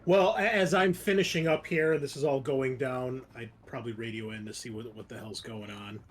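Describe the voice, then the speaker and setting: A man's voice: mid-range voice, a little fast. A man chatting casually as a player in a tabletop roleplaying game. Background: music.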